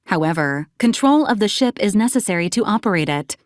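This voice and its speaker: A polite, coolly logical female robot, voiced by a woman for a video game. She speaks in clipped, formal announcements with a deadpan manner. The voice sits at a middle pitch and sounds dry and studio-close, with no room around it. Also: brisk, no echo, lightly acted. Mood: coolly procedural. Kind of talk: scripted video game voice acting.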